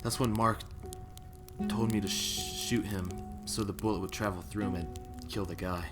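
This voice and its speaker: A scornful young combat veteran acted by a man in an audio drama. He orders rookies about with a short temper and plenty of insults. His voice sits low, over faint music. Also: fairly even intonation, moderate pace, lightly acted. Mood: angry, defensive. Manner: bossy, sweary, insulting.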